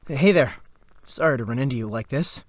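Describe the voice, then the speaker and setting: A man playing a nervous, overly polite young male adventurer in an audio drama, expressive and given to talking to himself. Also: medium pitch, a little fast, no echo, lightly acted. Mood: forced friendliness.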